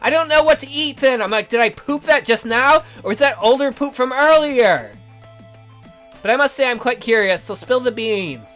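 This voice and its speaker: A man's voice: extremely high pitch, animated, normal speed, fairly bright tone, soft background music, pitched above the performer's own voice. A man voicing a bitter, sarcastic youth trapped in a child's body, reading visual novel dialogue with theatrical indignation. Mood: outraged, grudging. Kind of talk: visual novel character read-aloud.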